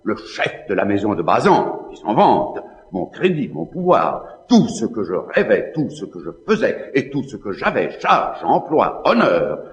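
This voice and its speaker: A man's voice: on the low side, heavily acted. An actor playing a proud, scheming Spanish nobleman in a classical French stage drama, in a theatrical, declamatory manner. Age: middle-aged. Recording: slightly dull, long hall reverb.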